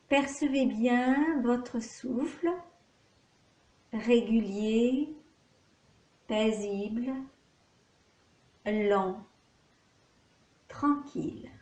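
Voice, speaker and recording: Woman, slightly high mellow voice, calm yoga nidra teacher, guided relaxation, measured phrases with long pauses. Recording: echoey room.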